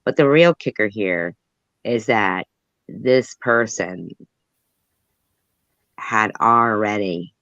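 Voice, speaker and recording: A man talks slowly in a low voice, rambling and trailing off between thoughts. The sound is dry and muffled. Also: bright, forceful tone, dry recording. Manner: rambling, trailing off, muttering asides. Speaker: host. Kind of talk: casual chat, reading news aloud. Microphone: phone.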